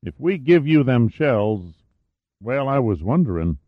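A man's voice: mid-range voice, big pitch swings, a little slow, pitched above the performer's own voice. A man voicing a friendly, canny small-town veteran with rustic, ungrammatical speech in an audiobook. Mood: canny, eager. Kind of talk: audiobook character dialogue. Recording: dull, roomy, echoey sound.

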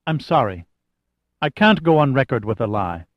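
A man's voice: medium pitch, sing-song, normal speed, dry recording, dull audio, natural voice. A man voicing a proud, principled Egyptian woman official in an audiobook novel, blunt, formal and emphatic. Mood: firm, blunt.

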